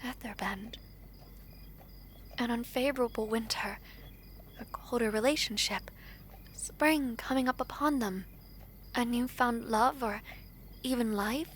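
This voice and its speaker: A woman playing a gentle, well-mannered young princess in a fantasy audio drama, with formal, thoughtful speech. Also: a little high, measured pace, long pauses, slight background noise, natural voice. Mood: wistful, thoughtful.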